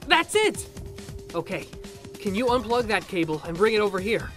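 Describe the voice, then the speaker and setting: A woman voicing a casual, excitable young male college student in a game fan dub. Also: medium pitch, normal speed. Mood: excited, eager. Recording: music playing behind the voice.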